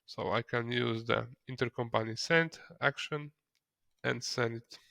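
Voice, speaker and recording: Gravelly male software tutorial narrator. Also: mid-range voice, steady tone, a little slow, no echo, clean studio sound. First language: not English. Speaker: software trainer.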